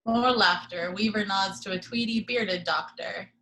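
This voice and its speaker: A woman's voice: medium pitch, a little slow, sharp tone, rough recording. Woman narrator for screenplay table read. Middle register, reads stage directions. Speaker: narrator reading action descriptions.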